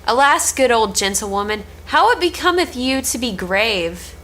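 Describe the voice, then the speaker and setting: A woman's voice: a little high, very animated, moderate pace, fairly bright tone, a little hiss or hum. A young woman reading the part of a cheeky, teasing page boy in an Elizabethan stage comedy. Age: young adult.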